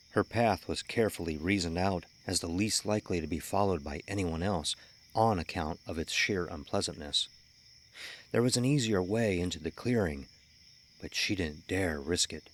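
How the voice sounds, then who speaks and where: A man narrating a scripted horror story in a measured, ominous, dramatic storytelling manner. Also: sits low. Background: music under the voice.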